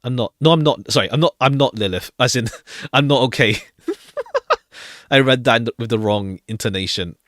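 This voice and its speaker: A man's voice: mid-range voice, moderate pace, a bit bright, no echo, studio quality. A man streaming a visual novel, reading the game text aloud and adding casual commentary.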